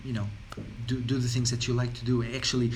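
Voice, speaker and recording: A man's voice: mid-range voice, monotone, quick pace, darkish tone, a little hiss or hum. A man from Portugal with a Portuguese accent, speaking about well-being in an unscripted talk, rambling along with many fillers and repeated words. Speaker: wellness speaker.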